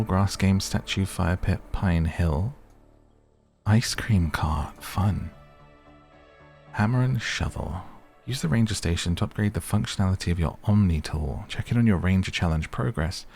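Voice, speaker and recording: Guy doing chill asmr game commentary, really deep n creaky, musing softly to you. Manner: gentle, whimsical musing to the listener. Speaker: gaming video host. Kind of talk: relaxed ASMR gameplay commentary.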